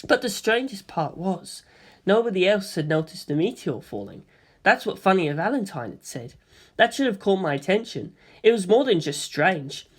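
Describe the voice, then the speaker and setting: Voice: mid-range voice, big pitch swings, normal speed, slightly rough. A narrator reading a first-person story expressively, in the manner of an audiobook.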